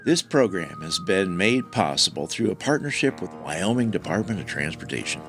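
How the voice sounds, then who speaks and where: Very deep American male announcer, lively delivery. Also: normal speed, soft background music. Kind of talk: scripted public service announcement.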